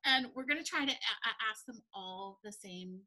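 A middle-aged woman co-hosting a casual video-call chat from home, animated and quick to laugh. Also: mid-range voice. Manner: giggly, animated. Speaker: podcast co-host. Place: home room, indoors. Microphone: video call, shared webcam.

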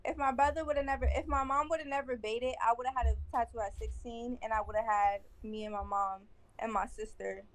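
A woman's voice: a little high, steady tone, brisk. Rambling young woman telling a story. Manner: rambling, repeats herself, slangy. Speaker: stranger on video chat.

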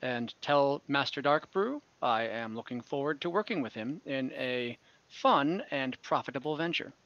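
A man's voice: mid-range voice, animated, moderate pace, a bit bright, no room sound. A man playing a rich, fussy father. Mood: paternal. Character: wealthy fussy father of a cleric, male, middle-aged. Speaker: game master. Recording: lo-fi video call audio.

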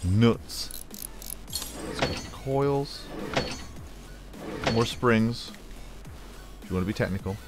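A man's voice: on the low side, slow pace, long pauses, music in the background. A man casually commenting on a mechanic game he plays, joking and talking to the parts as he removes them. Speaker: gameplay commentator. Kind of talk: casual let's play commentary.

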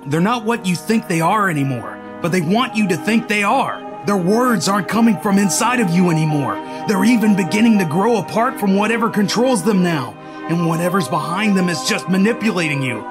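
Very shrill, frantic cartoon creature. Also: male voice, expressive, brisk, music in the background. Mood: urgent warning. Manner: urgent, overwrought declaiming.

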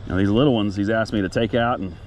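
A man's voice: lowish voice, fast talker, darkish tone, a little hiss or hum, low-quality recording. An American man chatting casually and in a folksy way to viewers while fishing from a kayak, recorded outdoors on an action camera. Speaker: outdoor vlogger, angler. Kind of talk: casual fishing vlog chat. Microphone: action camera at chest or head.

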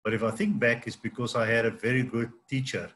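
A middle-aged man hosting a video call interview from a home office, asking questions in a relaxed, conversational way. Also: medium pitch, fairly quick. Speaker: host of virtual trade fair. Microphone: laptop mic on video call. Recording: video call with faint background noise.

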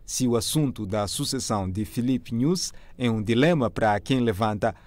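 A man's voice: mid-range voice, lively intonation, normal speed, noisy background. A man with a Mozambican accent reading scripted narration for a television news report.

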